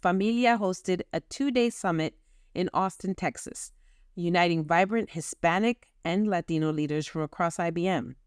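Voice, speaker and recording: Host read: woman, low and smooth, upbeat scripted delivery. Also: dry recording, clean studio sound. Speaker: podcast host. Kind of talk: scripted podcast intro.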